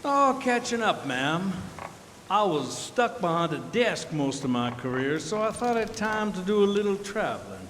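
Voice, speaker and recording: A man's voice: high voice, very animated, moderate pace, fairly bright tone. A man playing an affable retired American army major, folksy and polite, in an old-time radio drama. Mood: genial. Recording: hall reverb with faint noise.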